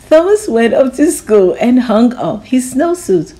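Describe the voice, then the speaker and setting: A woman reading a picture book to children at a slow, deliberate pace. Her voice sits a little high and swings widely up and down as she giggles through the funny parts. She talks like a friendly storytime host. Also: mellow.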